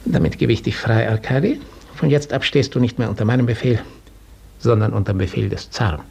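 An actor playing a condescending aristocratic count in a 1950s German radio drama, with a gravelly, husky voice and a measured, lordly manner. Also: male voice, mid-range voice, darkish tone. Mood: lordly, magnanimous. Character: condescending aristocratic count, master over serfs.

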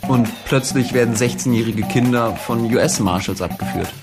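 A man's voice: medium pitch, normal speed, over loud music. A man giving an explanatory account as an insider in an interview.

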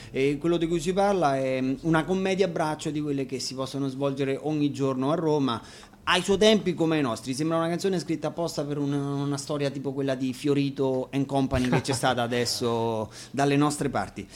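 A man casually introducing songs at an acoustic radio concert, explaining them in an informal, wryly ironic way. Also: fairly high pitch. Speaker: singer and band frontman introducing songs. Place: radio station, live acoustic performance.

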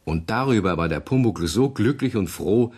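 A man playing the narrator of a children's radio play, telling the story in a measured, kindly way. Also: lowish voice, expressive, darkish tone, breathy edge. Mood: gently amused.

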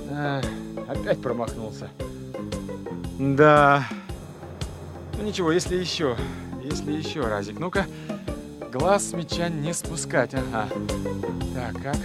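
An actor playing an eager, flustered young golf fanatic in a radio play, hesitant and muttering to himself. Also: male voice, fairly high pitch, lively intonation, slow pace, long pauses, loud music behind the voice. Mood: self-coaching, eager. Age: young adult. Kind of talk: Russian radio play acting.

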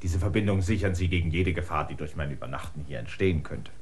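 Ein Schauspieler spielt in einem Hörspiel einen erschöpften, flehenden Landvermesser, der drängend und bittend spricht. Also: male voice, low-pitched, fast, slight background noise, natural voice. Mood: persuasive. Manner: pleading, clinging, insistent. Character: weary stranded male land surveyor, pleading and insistent. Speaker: land surveyor character. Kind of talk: radio play acting.

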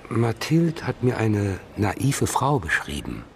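A man's voice: on the low side, huge pitch swings, takes it slowly, vocal fry, slight background noise, exaggerated voice. An actor playing a perceptive blind man questioned in a radio crime drama, phrasing his answers carefully and eloquently. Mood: reflective, grave. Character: perceptive blind male witness, measured and eloquent.

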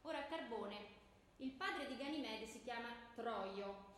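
A woman's voice: on the high side, lively intonation, a little slow. A woman playing a stern, didactic schoolmistress who quizzes and commands her pupils in a theatrical radio comedy. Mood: instructive. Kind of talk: theatrical radio comedy, classroom lecture delivery. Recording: echoey room with clear background noise.

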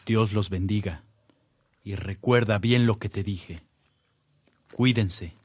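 A man playing a grave, cautious older church dignitary in a radio drama, formal and measured, with a Mexican accent. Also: fairly low pitch, darkish tone, dry recording. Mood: fatherly caution.

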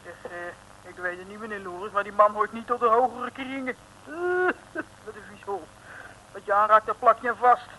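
A woman's voice: mid-range voice, moderate pace, pauses often, natural voice. A woman playing a chirpy, practical young female detective sidekick in an old radio serial, chatty and respectful. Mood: wary. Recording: noisy old radio recording.

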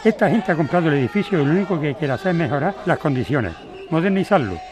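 A man's voice: a little high, animated, fast, close to an everyday voice. An actor playing a stubborn middle-aged corporate lawyer and father in an audio drama, defensive, impatient and condescending.

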